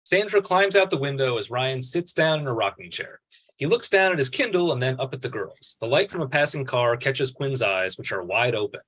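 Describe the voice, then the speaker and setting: Lively man reading stage directions. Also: mid-range voice, fairly quick. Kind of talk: scripted narration at a table read.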